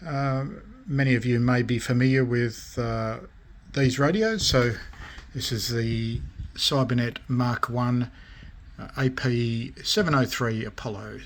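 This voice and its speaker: A man talks through his hobby work in a casual, informal way. His delivery is slow and halting, broken into short phrases with frequent pauses, at a middling pitch. There is faint noise in the recording. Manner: halting, pausing often between short phrases. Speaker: radio restoration hobbyist and vlogger. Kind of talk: casual show-and-tell of restored radios.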